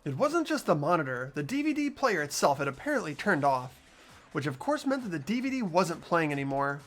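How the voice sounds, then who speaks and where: A man's voice: on the high side, very animated, fairly quick, a bit bright. A man playing an earnest, determined teenage boy detective in an amateur video game dub, insistent and accusatory.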